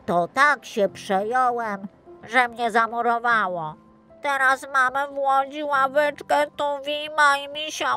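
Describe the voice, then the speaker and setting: Woman as a bright, high-pitched fretful teddy bear. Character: anxious, chatty little male teddy bear statue. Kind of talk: children's audio story acting.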